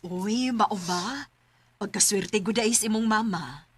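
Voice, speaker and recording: An actress playing a chatty, fond, middle-aged mother in a Filipino radio drama, with a teasing, expressive manner. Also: medium pitch, slow, a little hiss or hum, lightly acted.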